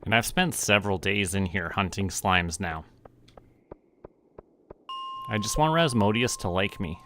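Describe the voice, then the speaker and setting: A man's voice: on the low side, lively intonation, measured pace, fairly bright tone, slight background noise. A man giving casual video game livestream commentary, musing aloud in short remarks. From Canada. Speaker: streamer.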